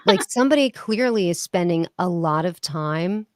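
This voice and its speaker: A woman's voice: fairly low pitch, lively intonation, normal speed, a little mellow, no echo, clean studio sound. A middle-aged woman hosting a casual group chat, teasing and asking questions. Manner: chatty, teasing, asks questions. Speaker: host.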